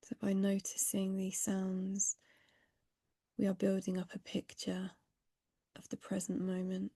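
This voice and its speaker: A woman guides a meditation very slowly and gently, leaving long pauses. Her voice is mellow and breathy with a noticeably creaky edge, sometimes sinking close to a whisper. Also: medium pitch, completely flat delivery, no echo.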